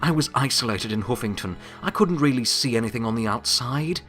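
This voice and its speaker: A man playing a weary, wry, remorseful pony who serves as Death, speaking in a reflective, halting, confiding monologue in an audio drama. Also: medium pitch, animated, fairly bright tone, soft background music, lightly acted. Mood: earnest, hopeful.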